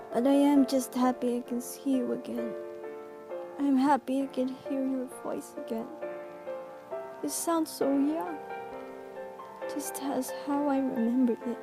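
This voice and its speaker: Frail old mother, played by a woman, high-pitched, unhurried, weary and tender, for amateur radio drama over music. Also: fairly even intonation. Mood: tender, relieved. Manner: slow, tender, formal phrasing. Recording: music loud behind voice.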